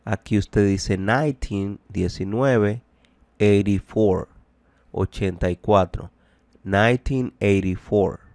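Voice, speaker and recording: A man teaching a bilingual language lesson, deliberately explaining, translating and repeating phrases. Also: lowish voice, darkish tone, no echo. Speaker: English teacher.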